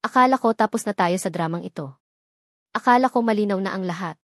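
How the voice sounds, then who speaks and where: Proud, scornful young woman, mocking and sharp-tongued, read by a woman storyteller. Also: medium pitch, brisk, no room sound, clean studio sound. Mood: sneering. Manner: sneering, mocking.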